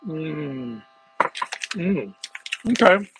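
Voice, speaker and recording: A man's voice: a little high, very animated, extremely slow, background noise. Chatty man rambling to his viewers. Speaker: wellness vlogger. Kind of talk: casual livestream chat while cooking.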